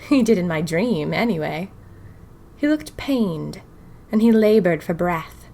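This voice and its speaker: A woman playing a dreamy, self-dramatizing young 1920s woman who confides her diary in an audio drama. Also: mid-range voice. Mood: dreamy, wistful. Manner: wistful, confiding, wryly dramatic. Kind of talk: first-person diary audio fiction.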